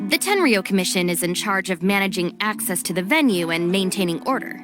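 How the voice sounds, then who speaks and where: A woman's voice: medium pitch, lively intonation, fairly quick, lightly acted. An actress playing a friendly, helpful, chatty female card player in a game cutscene. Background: music.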